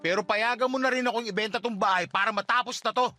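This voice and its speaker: Cold, impatient husband for radio drama; high, forceful voice, curt delivery. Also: male voice, quick pace, soft background music. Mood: irritated. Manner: curt, exasperated. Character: cold, irritated husband pushing to end his marriage. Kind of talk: radio drama dialogue in Tagalog.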